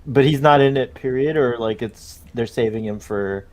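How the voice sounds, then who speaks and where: A man's voice: mid-range voice, normal speed, darkish tone. A man hosting a casual chat show in a light-hearted, inquisitive manner. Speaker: show host.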